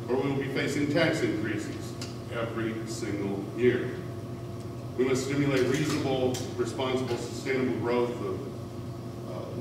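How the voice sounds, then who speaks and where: A middle-aged man with a big voice, giving a formal, earnest campaign speech, with frequent "uh" fillers. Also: mid-range voice. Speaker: city council candidate. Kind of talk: campaign speech at a candidate forum. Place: school hall. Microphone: distant, speaking without a microphone. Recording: echoey hall from far off with room noise.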